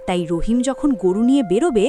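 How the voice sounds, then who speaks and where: A woman voicing a cruel, scolding village stepmother in a cartoon story, with a spiteful, sharp-tongued manner. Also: fairly high pitch, expressive, fast talker, faint music behind, natural voice. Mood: scheming.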